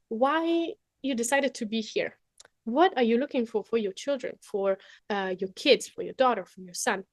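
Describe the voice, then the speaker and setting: A woman's voice: on the high side, dry recording, clean studio sound. A young adult woman with an Italian accent presents a webinar in a chatty way, often adding "okay?" and fillers. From Italy.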